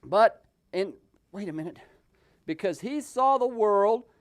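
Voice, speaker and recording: Theatrical man with very lively intonation. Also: high voice, unhurried. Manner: mocking, theatrical, improvised. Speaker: host and puppeteer. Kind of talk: comic storytelling with puppets.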